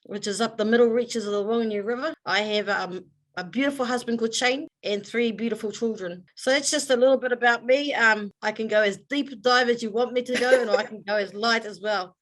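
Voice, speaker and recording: Measured woman giving a formal introduction. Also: on the high side, a bit bright. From New Zealand. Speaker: guest business owner. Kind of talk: formal Māori introduction, then casual talk.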